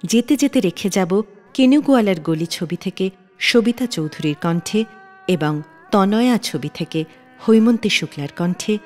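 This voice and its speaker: Female radio presenter, lively melodic delivery of scripted narration, set in long reverb. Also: mid-range voice, normal speed, faint music behind. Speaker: radio show host.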